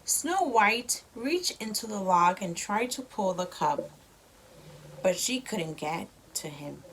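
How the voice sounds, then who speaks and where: A woman reads a children's story aloud at an unhurried pace. Her pitch moves up and down in a lively, child-directed way, and she adds simple explanations. A light noise sits behind her in an amateur home recording. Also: low-quality recording. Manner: slow, expressive child-directed delivery.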